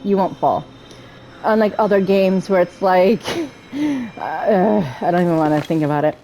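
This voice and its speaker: A woman's voice: mid-range voice, measured pace, dark tone, slight background noise, low-quality recording. A woman casually commentating a video game as its host, chatting and thinking aloud and sometimes reading the on-screen text.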